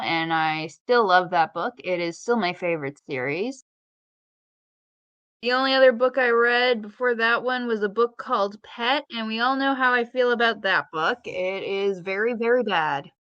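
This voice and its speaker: A woman's voice: medium pitch, measured pace, fairly bright tone, clear, resonant tone, no echo, highs cut off. A woman co-hosting a casual podcast, chatty and opinionated, with wry, rambling asides. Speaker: podcast co-host.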